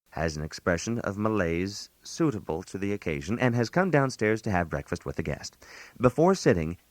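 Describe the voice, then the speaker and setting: An actor playing a put-upon island host in a scripted comic radio monologue, giving genteel advice in a precise, mock-formal manner. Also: male voice, on the low side, no room sound, natural voice. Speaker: narrator. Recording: analog radio aircheck.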